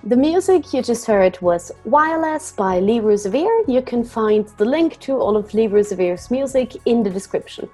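Austrian woman co-hosting, mellow and high. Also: animated, moderate pace, hardly any pauses, soft background music. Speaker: podcast co-host. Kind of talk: scripted podcast teaser.

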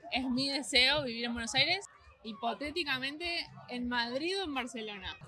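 A woman's voice: sits high, moderate pace, bright, forceful tone. A woman answering festival interview questions, thinking aloud with some hesitant restarts.

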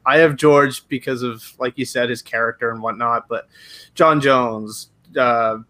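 A man's voice: medium pitch, fairly even intonation, unhurried, bright, forceful tone, no room sound. A man with a Canadian accent hosting a casual, chatty sports interview.

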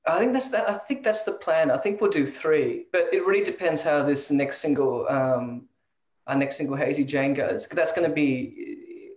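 An Australian musician in a casual interview, talking with hesitant, repeated words and frequent laughter. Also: male voice, on the high side, moderate pace, a little mellow. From Sydney, Australia. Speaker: band member guest. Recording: roomy echoey sound.

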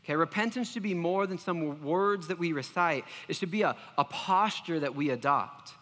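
Male pastor, sermon, high voice, bright tone, earnest, self-deprecating. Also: moderate pace, long reverb tail.

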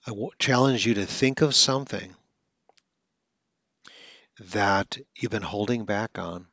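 A man's voice: lowish voice, measured pace, pushed and bright, dry recording, muffled recording. A man who stutters now and then, hosting a podcast and coaching listeners in an earnest, instructional monologue.

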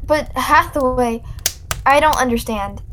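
A woman's voice: high voice, unhurried, a bit bright, a little hiss or hum. A woman playing a distressed, wounded young sweetheart in an old-time radio drama, pleading and faltering. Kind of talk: 1940s radio drama acting. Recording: dramatic music behind the voice.

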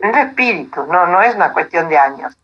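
A woman's voice: fairly low pitch, animated, fairly quick, dull audio, noisy background. An Argentine woman of eighty-two telling stories casually as an interview guest, with an easygoing, anecdotal manner. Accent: Argentine Spanish.

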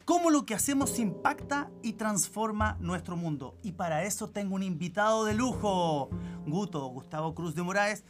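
A man's voice: high-pitched, expressive, moderate pace. A man with a Chilean accent hosting a podcast, friendly and enthusiastic as he greets and questions his guest. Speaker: podcast host. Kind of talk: podcast interview. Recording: podcast with guitar music behind.

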